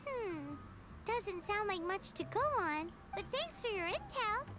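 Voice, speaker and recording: A tiny floating fairy girl from an anime game. The voice is extremely high and squeaky, with animated, exaggerated swings. Loud game music plays behind it. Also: a little slow, exaggerated voice. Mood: doubtful. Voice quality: squeaky, childlike. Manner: exaggerated, talks of self in third person. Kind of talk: anime video game acting.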